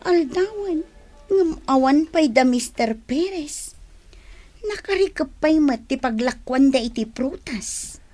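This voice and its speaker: A woman's voice: sits high, animated, takes it slowly, mellow, soft background music. A woman with a Filipino accent playing a chatty, complaining fruit stall worker in a radio drama. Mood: gossipy, complaining. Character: grumbling, gossipy female fruit stall worker. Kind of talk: Ilocano radio drama acting.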